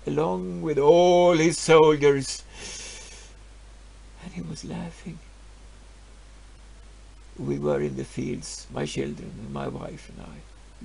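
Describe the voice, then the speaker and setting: An actor playing a grieving, stubborn peasant farmer, telling his story in a halting voice that swings from hushed to loud. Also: fairly high pitch, gravelly, slightly rough, exaggerated voice. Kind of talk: dramatic storytelling monologue.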